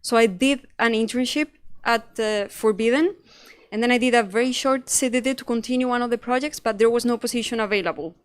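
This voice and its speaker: A woman's voice: on the high side, brisk. A young woman from Spain with a Spanish accent, telling students about her first job in a chatty, self-deprecating way. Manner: chatty and self-deprecating, acts out her own past thoughts. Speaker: panel speaker, investigative journalist. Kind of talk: casual panel talk to students.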